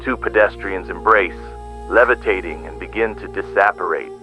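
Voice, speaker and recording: Guy doing a doomed reporter at the world's end, low and grave, radio drama, music behind him. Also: normal speed, sharp tone, poor audio. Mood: grave awe. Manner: grave, poetic eyewitness narration. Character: doomed male field reporter witnessing the world dissolving, awestruck, steadfast. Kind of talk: audio drama disaster field report. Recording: music under the voice.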